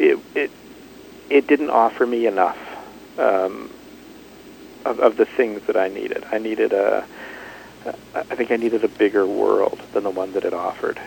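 A middle-aged man from Canada, an author, speaking in a radio interview, thoughtful and halting with many restarts. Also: medium pitch, vocal fry, a little mellow, slight background noise, rough recording.

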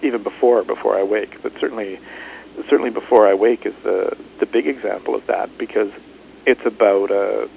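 A middle-aged man from Canada, an author, speaking in a radio interview, thoughtful and halting with many restarts. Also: lowish voice, gravelly, darkish tone, slight background noise, rough recording.

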